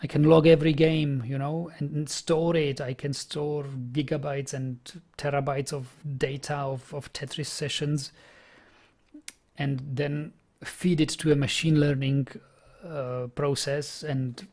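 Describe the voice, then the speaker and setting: Halting Czech-accented man with gravelly voice. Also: a little high, little pitch movement, darkish tone. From Czech Republic. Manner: halting, repeats words while thinking aloud. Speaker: programming streamer. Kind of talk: casual live-stream chat.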